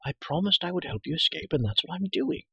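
Storybook young girl, played by a male reader: brisk, talkative, matter-of-fact, lively pitch, slightly breathy, dry sound. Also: no room sound, highs cut off, full character voice. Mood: prim, patient. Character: chatty, brisk, self-assured young girl.

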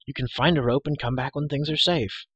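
A man's voice: medium pitch, a little fast, fairly bright tone, slightly rough, no room sound, highs cut off, pitched above the performer's own voice. A man voicing an anxious, hot-headed young pig keeper in a storybook, exclamatory and indignant. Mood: defiant, troubled.

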